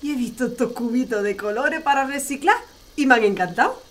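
A woman's voice: sits high, fairly quick, natural voice. An actress playing a cheerful, practical middle-aged mother in an audio family comedy. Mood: chatty.

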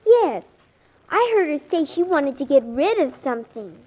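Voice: sits high, very animated, moderate pace, a little hiss or hum. A performer playing an innocent, tattling little daughter in a 1940s radio comedy, reciting what she overheard. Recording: muffled 1940s radio recording.

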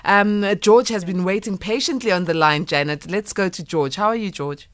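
A woman hosting a call-in radio show, briskly moving from one caller to the next. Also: medium pitch, dry recording, clean studio sound. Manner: brisk, moves callers along.

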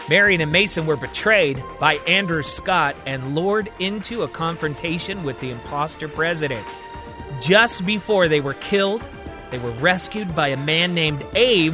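A man narrating a scripted serial drama as a storyteller, deliberate and suspenseful. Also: fairly high pitch.

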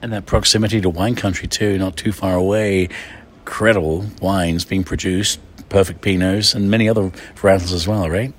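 A man's voice: low-pitched, steady tone, normal speed. A man hosting a radio food interview, effusive, chatty and theatrical. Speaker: radio food show host.

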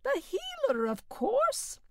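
Brief: panicky peasant man, high and whining, played by a woman. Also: wildly swooping pitch, slow pace, no echo, clean studio sound, heavily acted. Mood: plaintive.

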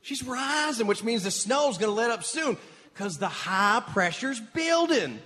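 A folksy small-town airport superintendent in a comedic radio play. He is eager and bumbling, high-pitched and swooping, with stammered reassurances that ring out in a reverberant theatre hall. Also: a little slow, a little hiss or hum, exaggerated voice. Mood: excitable, insistent. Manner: rustic, stammering, reassuring, excitable.

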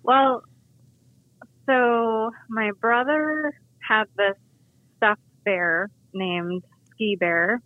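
A woman's voice: a little high, steady tone, drawn-out words, long pauses, no room sound. A woman phone guest telling personal stories in a casual, playful way. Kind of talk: casual storytelling. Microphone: telephone. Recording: dull phone call, band-limited.

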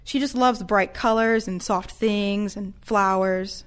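A woman playing a kind, patient care-home aide in an audio play, gentle and encouraging. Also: mid-range voice, steady tone, slow, clear, resonant tone, close to an everyday voice.

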